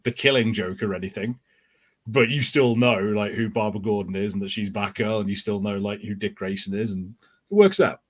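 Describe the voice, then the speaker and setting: A man's voice: on the low side, fairly even intonation, brisk. A man co-hosting a podcast, explaining his opinions in casual, conversational speech. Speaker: podcast co-host. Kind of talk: casual chat.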